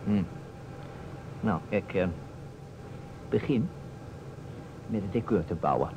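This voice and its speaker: A man acting a self-assured, slyly teasing detective, measured and deliberate with dramatic pauses. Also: lowish voice, animated. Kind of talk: old Dutch radio play acting. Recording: muffled old radio recording, faint noise.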